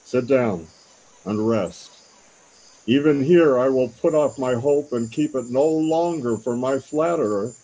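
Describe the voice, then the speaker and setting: A man's voice: medium pitch, measured pace, warm tone, natural voice, low-quality recording. A man playing a grieving, world-weary older king, grave and regal, in a Shakespearean radio play. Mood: despondent.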